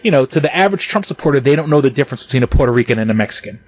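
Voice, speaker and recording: A man's voice: mid-range voice, animated, racing, lo-fi sound. A man co-hosting a casual podcast, talking in a heated, profane way with stumbling restarts and bursts of laughter. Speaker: podcast co-host.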